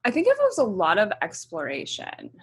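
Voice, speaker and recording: Husky, gravelly woman with a bright tone. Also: mid-range voice, moderate pace. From grew up in Hong Kong. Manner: relaxed, thinks aloud with many ums and likes. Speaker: musician being interviewed. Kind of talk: casual interview answers.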